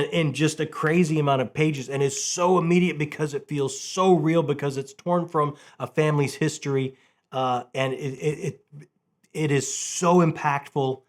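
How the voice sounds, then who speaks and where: A middle-aged man chatting casually and enthusiastically about books as a channel host, repeating phrases for emphasis. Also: on the high side. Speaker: host of a book review channel.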